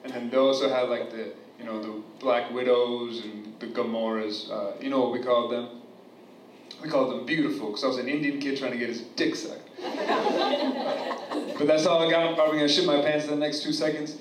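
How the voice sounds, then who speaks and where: A man's voice: mid-range voice, steady tone, fairly quick. A young man from New Jersey doing a crude, rambling stand-up set, full of fillers and laughing at his own jokes. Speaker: stand-up comic at an open mic. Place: comedy club room with a live audience. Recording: echoey room, far from mic, loud hiss.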